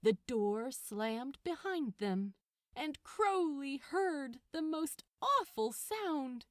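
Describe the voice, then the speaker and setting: Highly expressive woman narrator, higher voice. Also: extremely slow, no room sound, studio quality. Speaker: audiobook narrator of fan fiction. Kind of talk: expressive scripted story narration.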